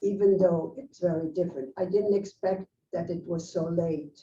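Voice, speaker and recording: Dark-voiced speaker from Egypt, echoey room. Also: medium pitch, steady tone, moderate pace. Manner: hesitant, searches for words with "uh". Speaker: discussion participant. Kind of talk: casual group discussion. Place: meeting room with an in-person group. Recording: echoey, distant, dull room recording with faint noise.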